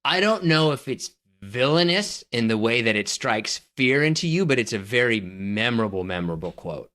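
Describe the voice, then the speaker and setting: A man's voice: mid-range voice, very animated, normal speed, fairly bright tone. A man co-hosting a casual podcast, skeptical of his partner's list and arguing his own picks at length. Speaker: podcast co-host. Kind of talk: casual debate chat.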